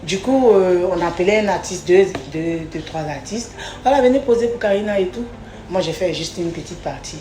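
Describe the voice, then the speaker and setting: A woman's voice: lowish voice, moderate pace, mellow, a little hiss or hum. Woman singer in an echoey room. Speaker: singer being interviewed. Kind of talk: casual interview, personal storytelling.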